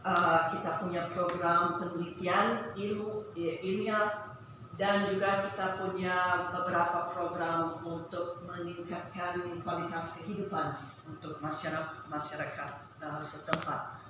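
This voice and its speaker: A woman with a foreign, non-native accent giving a formal presentation as an organisation director, halting and careful, recorded at a distance in a noisy, echoing meeting room. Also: fairly low pitch, level tone. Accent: foreign, non-native Indonesian. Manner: halting, careful, searching for words. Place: large echoey indoor meeting room. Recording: very echoey hall, distant mic, noisy.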